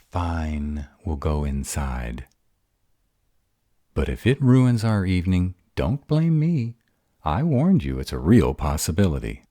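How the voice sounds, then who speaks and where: A gruff were-dragon in love, acted by a man, speaks in an extremely low, dark voice. He is earnest and easily flustered, hesitating over tender words in an intimate romantic roleplay, with lively swings of pitch. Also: takes it slowly, pauses often, dry recording, clean studio sound, exaggerated voice. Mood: grumbling, worried. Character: gruff, bashful male were-dragon lover in human form. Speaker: voice actor in roleplay audio.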